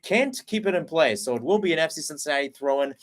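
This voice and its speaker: A man giving live play-by-play commentary on a soccer match, keeping up a running call that rises and gets louder when attacks build. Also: on the high side, animated, fast talker.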